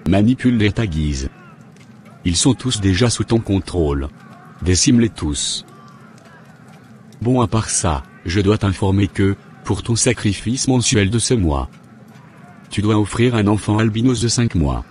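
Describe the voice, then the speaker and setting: A man's voice: on the low side, expressive, unhurried, pauses often, a little mellow, a little hiss or hum. A man voicing a callous, domineering servant of evil spirits in a cartoon. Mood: ruthless. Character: callous male henchman of evil spirits, giving orders. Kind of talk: dramatic animated dialogue.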